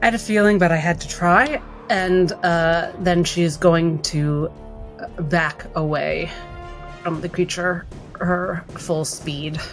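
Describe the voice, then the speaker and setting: A player narrating their character in a tabletop game, pausing thoughtfully between moves. Faint music plays behind. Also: mid-range voice, steady tone.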